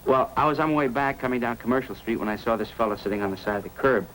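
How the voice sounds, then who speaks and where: A man's voice: medium pitch, racing, fairly bright tone, natural voice, poor audio. A man playing a friendly, talkative fish hobbyist and eager witness in a 1950s radio drama. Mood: chatty, earnest. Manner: talkative, folksy, hesitant asides. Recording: old radio recording with background noise.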